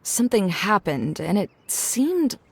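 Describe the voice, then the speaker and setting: A woman's voice: mid-range voice, sing-song, unhurried, creaky, husky, full character voice. A woman playing a blunt, businesslike female scholar in an anime video game, formal and direct. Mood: explaining, exasperated.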